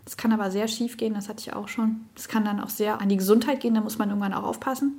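A woman's voice: medium pitch, steady tone, fast talker, vocal fry, a little mellow. A woman in her twenties reflecting in a matter-of-fact way in an interview. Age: 20s.

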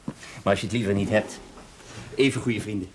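An actor playing an educated, playful newcomer to a village, speaking wry banter in a television drama. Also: male voice, fairly low pitch, fairly even intonation, brisk, a little mellow, slight background noise, close to an everyday voice. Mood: wry, curious.

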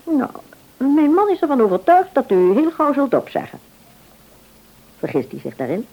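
An actress playing a tactful, self-assured shop owner's wife who probes politely and deliberately in a radio play. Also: female voice, high voice, huge pitch swings, phone-quality sound, exaggerated voice. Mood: gently probing. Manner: polite, deliberate, gently probing. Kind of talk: naturalistic radio play acting.

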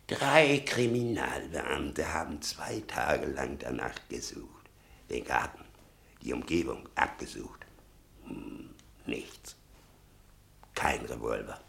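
An actor playing a bitter, sarcastic old father in a German radio play, rambling and muttering with a gravelly voice. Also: very deep voice, a bit bright, heavily acted. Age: older. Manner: rambling, sardonic, muttering and flaring up.

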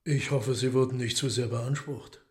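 An actor playing a courteous, commanding devil in a radio play, speaking in a suave and measured way. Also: male voice, mid-range voice, steady tone, darkish tone, close to an everyday voice. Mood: gracious.

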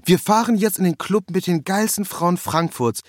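A man voicing a boisterous, cocky young street buddy with loud, slangy bragging in a narrated story. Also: high voice, moderate pace, dry recording, studio quality, pitched above the performer's own voice, close to an everyday voice. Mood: cocky, excited. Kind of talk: acted dialogue in a narrated story.

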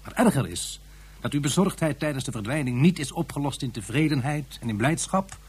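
An actor playing a cautious, talkative male professor and government adviser in a radio play, measured and tactful. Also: fairly high pitch, animated, slightly rough. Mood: earnest.

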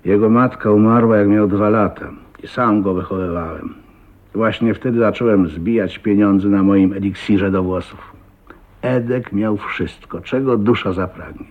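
An actor in a radio drama playing a talkative, blunt, jovial old self-made millionaire with a husky voice and a folksy manner. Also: male voice, lowish voice, moderate pace, natural voice. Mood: wistful, reminiscing. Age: older. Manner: garrulous, folksy, blunt, with chuckles and exclamations. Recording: muffled thin narrow-band radio recording.

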